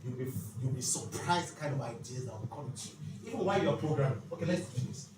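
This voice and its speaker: A man's voice: mid-range voice, expressive, quick pace. A man giving a motivational, faith-based teaching talk in an emphatic, preacherly manner, with rhetorical questions. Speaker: speaker leading a financial literacy leadership session. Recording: echoey room, distant mic, clear background noise.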